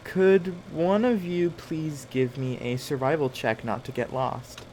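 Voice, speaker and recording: A man running a tabletop game as game master, improvising scene descriptions in a halting way as he searches for words. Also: fairly high pitch, fairly even intonation, darkish tone, a little hiss or hum.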